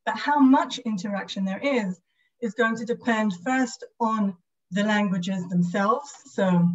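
A woman's voice: mid-range voice, measured pace. A woman with a British accent giving an academic lecture over a video call. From United Kingdom. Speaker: guest lecturer, speech and language pathologist. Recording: slightly dull video call audio.

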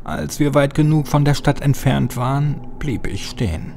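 Male narrator for scripted horror audiobook, mid-range voice, clear music bed underneath. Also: mid-range voice, moderate pace.

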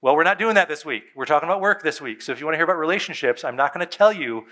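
A man, a pastor preaching a sermon on a church stage, conversational and emphatic, with dramatic pauses and soft drops in his voice. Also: fairly high pitch, lively intonation. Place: church stage before a seated congregation.

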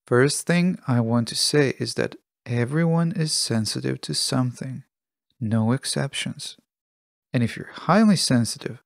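Gravelly-voiced man hosting a podcast. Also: mid-range voice, no room sound, studio quality. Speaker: podcast host. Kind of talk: scripted solo self-help podcast talk.

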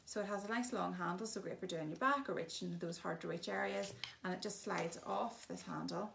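Woman, slightly low voice, gravelly, chatty product demo, faint hiss behind. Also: a little fast, a bit bright. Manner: chatty, many ums, plain explaining. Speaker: shop presenter showing products. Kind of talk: casual product demonstration.

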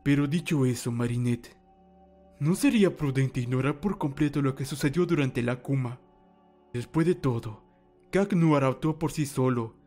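A man playing a calm, wise old mentor in a fan dub, speaking formal Latin American Spanish in a measured, reassuring way. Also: mid-range voice, soft background music, lightly acted.

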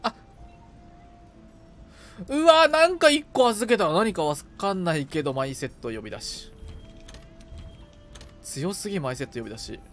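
A man's voice: high-pitched, very animated, moderate pace, pauses often, a bit bright, soft background music. A man chatting casually while gaming, muttering short item checks to himself and exclaiming in a casual way. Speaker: game streamer, co-op partner.

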